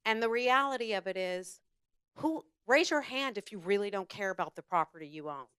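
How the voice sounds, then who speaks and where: A woman's voice: medium pitch, animated, a little fast, a bit bright, clear, resonant tone, no echo, clean studio sound. Big-voiced woman speaking up at a meeting. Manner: emphatic, frank, big-voiced. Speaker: public meeting commenter, vacation home host. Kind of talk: public comment at a meeting. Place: public meeting room.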